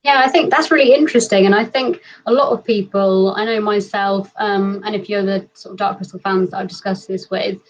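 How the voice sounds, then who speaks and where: A woman's voice: mid-range voice, fairly even intonation, fairly quick, hardly any pauses. A British woman hosting a casual online livestream chat over a video call. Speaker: host of an online fan convention. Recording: dull, lo-fi online call audio.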